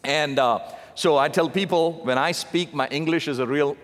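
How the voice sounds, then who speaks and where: A man's voice: fairly high pitch, moderate pace. Indian-accented man preaching in a big hall. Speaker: missionary preacher. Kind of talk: sermon. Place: large church sanctuary. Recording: long reverb of a big hall.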